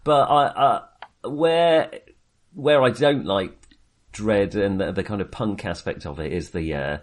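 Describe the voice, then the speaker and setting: Male podcast co-host, low voice, casual reviewing style, halting and self-correcting as he thinks aloud. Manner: hesitant, self-correcting, with frequent repeated starts. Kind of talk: casual film review chat.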